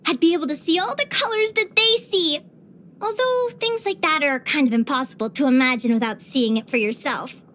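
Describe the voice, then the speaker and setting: A woman voicing a cheerful, curious young adventurer and naturalist in a video game, with an earnest, expressive delivery. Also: sits high, fairly quick, slight background noise. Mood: eager, animated. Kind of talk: video game character voice lines.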